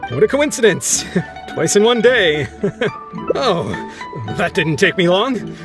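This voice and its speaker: A flustered, stammering sea captain. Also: male voice, high voice, very animated, takes it slowly, music in the background, full character voice. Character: flustered embarrassed male ship captain. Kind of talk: video game voice acting.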